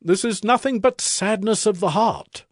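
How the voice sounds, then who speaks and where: A man's voice: high-pitched, normal speed, no echo, studio quality. A man playing an imperious ancient king in a dramatized audio Bible, regal and theatrical as he probes his servant. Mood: probing, concerned.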